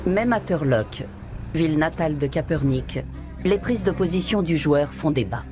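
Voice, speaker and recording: A woman giving measured, scripted documentary narration. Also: low voice, animated. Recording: voice-over over music.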